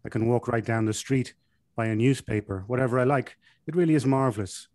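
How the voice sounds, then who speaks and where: A man reading a scripted comic monologue aloud in a deadpan, self-satisfied manner. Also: medium pitch, brisk, no room sound.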